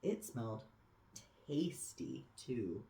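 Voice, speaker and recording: Slow, animated children's storybook reader. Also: mid-range voice, slight background noise. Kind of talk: expressive children's read-aloud with asides. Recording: echoey room.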